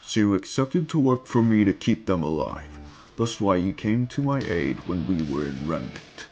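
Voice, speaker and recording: A man voicing a ruthless, arrogant conqueror villain in an amateur dramatic reading, gravelly and full of grandiose threats. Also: low-pitched, very animated, moderate pace, mellow, muffled recording, a little hiss or hum. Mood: taunting. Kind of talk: amateur dramatic reading of comic fan fiction. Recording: music under the voice.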